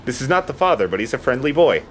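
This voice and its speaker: A man's voice: mid-range voice, big pitch swings, fast talker, a bit bright, a little hiss or hum. A man giving casual, informal Let's Play commentary while playing a video game.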